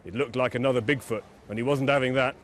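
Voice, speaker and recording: A man telling a children's bedtime story in an expressive, dramatic way, leaning on key words. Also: medium pitch, fast, a little hiss or hum.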